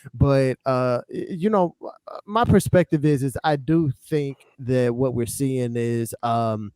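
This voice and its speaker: A man's voice: medium pitch, takes it slowly, darkish tone, clear, resonant tone, no echo. An American man chatting casually as a music podcast panelist, giving opinions in a conversational, slangy way. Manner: conversational, frequent "you know what I'm saying".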